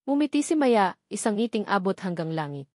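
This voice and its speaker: Woman, Filipino accent, scripted love-story narration, medium pitch, sentimental delivery, very little room echo. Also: big pitch swings, brisk, a little mellow, clean studio sound.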